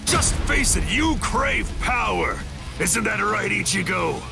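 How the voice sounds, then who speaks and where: Sneering, high, gravelly demonic spirit. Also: male voice, animated, slow pace, full character voice. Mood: taunting. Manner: sneering, theatrical, taunting. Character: menacing, taunting male inner hollow spirit craving battle. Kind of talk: English anime game dub acting. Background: music.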